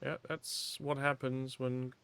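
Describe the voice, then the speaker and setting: A man's voice: medium pitch, little pitch movement, takes it slowly, gravelly, a bit bright, clean tone, no room sound. Chatty man, laughing often. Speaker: co-host. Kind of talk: casual chat.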